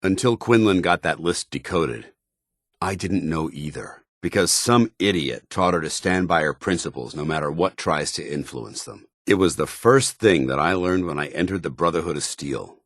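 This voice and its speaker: A deep-voiced, earnest military paladin. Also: male voice, a bit bright, no echo, studio quality. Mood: troubled. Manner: grave, formal, deliberate soldierly delivery. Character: earnest, honour-bound military paladin, a synthetic man troubled by his identity. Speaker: voice actor in a video game. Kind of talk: dramatic video game dialogue.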